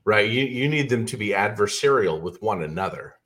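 A man hosting a talk show, giving deliberate conversational commentary and often repeating words as he hesitates. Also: lowish voice, animated, a bit bright.

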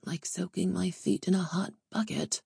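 A woman's voice: on the low side, steady tone, normal speed, vocal fry, warm tone, slightly rough, no room sound, clean studio sound, full character voice. A woman voicing an impatient, irreverent female emissary in an audiobook, with a curt, sardonic manner. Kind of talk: audiobook character voice.

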